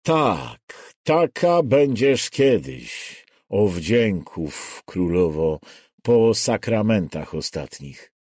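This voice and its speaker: A man reads as an audiobook narrator with deliberate, dramatic phrasing. His voice sits on the low side and moves widely in pitch. He takes his time, and the recording is dry and close. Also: very animated, a little mellow, breathy edge, no room sound. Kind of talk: scripted literary audiobook narration.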